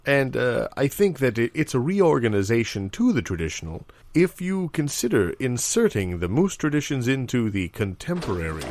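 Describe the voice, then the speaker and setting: A man playing an earnest, waffling expert in improvised comedy, explaining in a halting, deadpan academic way. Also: mid-range voice, no echo. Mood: earnest, flustered.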